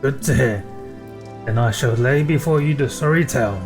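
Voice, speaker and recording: A man's voice: mid-range voice, normal speed, over music, lightly acted. Man reading as a folksy seafaring farm boss. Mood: concerned. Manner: folksy, old-fashioned phrasing. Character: gruff, worried farm boss and former sailor. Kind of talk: reading quest dialogue aloud.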